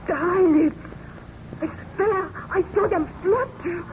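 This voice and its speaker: Old noisy radio recording, horrified woman, high-pitched, theatrical, deliberate, dread-filled horror melodrama. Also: dull audio. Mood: alarmed. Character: horrified, frightened woman witnessing a monster's creation. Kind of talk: old-time radio horror drama acting.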